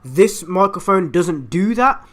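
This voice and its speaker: A man with a raspy voice, hoarse from a flu, chatting upbeat and direct to viewers as he reviews a microphone at home on a desktop USB mic. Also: high-pitched, lively intonation, takes it slowly. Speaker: YouTube channel host. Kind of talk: casual product review and unboxing. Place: at home, indoors.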